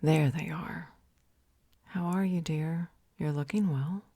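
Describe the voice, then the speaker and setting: A woman playing a motherly healer in a roleplay audio drama, soft and fussing with a creaky, breathy voice. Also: low voice, steady tone, takes it slowly, dry recording, studio quality. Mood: caring, concerned. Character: motherly female healer, caring but fed up.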